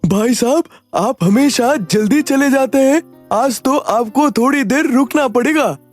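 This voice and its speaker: A performer voicing a suspicious neighbour in an animated story. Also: high voice, a little slow. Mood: insistent. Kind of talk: animated Hindi story dialogue. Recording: music under the voice.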